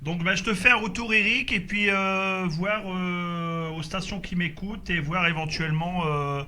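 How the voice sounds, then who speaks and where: A man's voice: sits high, steady tone, normal speed, pushed and bright. A man chatting casually as a radio operator during a group contact, speaking hesitantly and repeating his confirmations.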